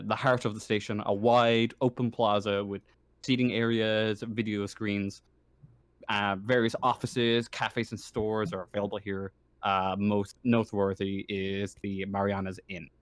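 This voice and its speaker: Low, clear-voiced male game master. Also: dry recording. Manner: halting, often rephrasing himself. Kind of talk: improvised tabletop game narration.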